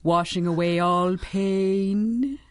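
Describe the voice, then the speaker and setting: A woman's voice: medium pitch, drawn-out words, clean tone. An actress playing a genteel but sinister middle-aged woman chocolatier in a radio drama, purring and condescending. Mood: silkily menacing. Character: sinister older woman chocolatier, silky, menacing. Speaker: chocolate shop owner. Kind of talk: 1930s-style radio drama acting.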